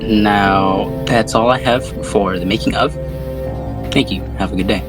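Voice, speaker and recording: Low-voiced man, slangy podcast host. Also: measured pace, music in the background. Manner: swears freely, slangy. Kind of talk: casual film discussion podcast.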